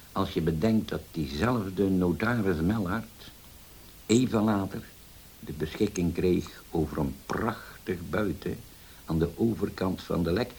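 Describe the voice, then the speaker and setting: A hissy old radio drama recording of a grave middle-aged father. His voice is very low and he speaks slowly and haltingly, weighed down by old betrayal. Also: a little mellow, heavily acted. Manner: halting, hesitant, solemn, with many pauses. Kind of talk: Dutch radio play acting.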